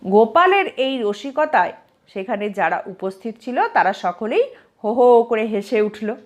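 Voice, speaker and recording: A woman narrating a scripted comic story as an expressive storyteller. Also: a little high, a little slow.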